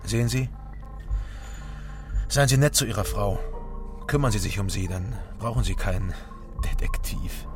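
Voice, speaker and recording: An actor playing a world-weary, guarded private detective in a radio drama, laconic and dry. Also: male voice, fairly low pitch, huge pitch swings, measured pace, pauses often. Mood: dry, dismissive. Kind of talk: naturalistic radio drama acting. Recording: music under the voice.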